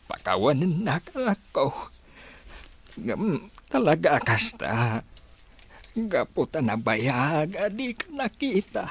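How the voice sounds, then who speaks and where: A man playing a frail, sorrowful elderly father with a breathy, husky voice and halting delivery in an audio drama. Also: fairly high pitch, huge pitch swings, heavily acted. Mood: tender, emotional. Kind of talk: Filipino radio-style drama acting.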